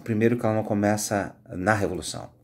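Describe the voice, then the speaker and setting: A Brazilian man speaking as a high school history teacher, giving an informal, conversational lecture with frequent tag questions. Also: steady tone, fast talker. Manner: conversational, explanatory, frequent "né" tags.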